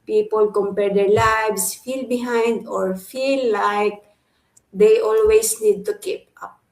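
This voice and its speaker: A woman from the Philippines answering questions on a live online panel, earnest and steady, listing her points one after another. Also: medium pitch, slow pace, roomy sound, poor audio. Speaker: guest panelist.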